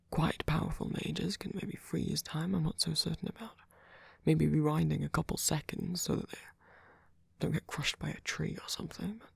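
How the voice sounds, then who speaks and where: A man playing a gentle, doting centuries-old vampire boyfriend in an ASMR roleplay, speaking softly and haltingly, often in a whisper. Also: a little high, dry recording, full character voice. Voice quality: soft, breathy, often whispered. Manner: halting, polite, fussing, softly reassuring.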